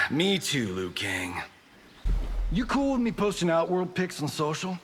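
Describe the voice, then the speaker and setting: A sharp, higher-pitched cocky movie star for a video game. He is brash and flippant, with hugely swinging intonation. His voice rings with long reverb. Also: lively intonation, slow pace, gravelly, heavily acted. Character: cocky wisecracking male Hollywood movie star. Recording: hall reverb, faint noise.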